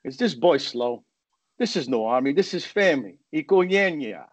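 A man's voice: on the high side, animated, moderate pace, no room sound. An actor playing a blunt, scolding, domineering uncle in his fifties in a screenplay table read over a video call. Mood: bossy.

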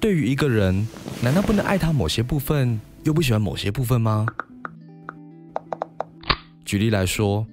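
A man's voice: mid-range voice, expressive, moderate pace, pauses often, lightly acted. An actor playing a pensive young man voicing his inner thoughts on love in a radio drama. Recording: music behind the voice.

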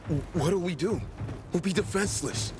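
A man's voice: high-pitched, moderate pace, noisy background, lightly acted. An actor playing a frightened, defenseless male villager in a video game. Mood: fearful protest. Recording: loud game music behind.

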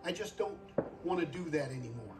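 A man in his sixties preaches at a live service in a high voice. His delivery is earnest, circling back over phrases to drive them home. He sounds somewhat far from the microphone, with music clearly behind him. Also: expressive, a little fast. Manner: earnest, repeats phrases for emphasis, calls for amens. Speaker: guest preacher. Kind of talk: sermon with altar call.

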